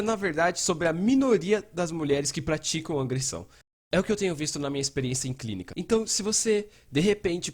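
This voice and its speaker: Fast-talking Brazilian man, dubbing a frank explainer. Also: on the high side. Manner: animated, acts out quoted dialogue, casual swearing. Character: frank, casual psychotherapist explaining bullying to viewers. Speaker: fan dubber voicing a mental health educator. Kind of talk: dubbed conversational explainer talk.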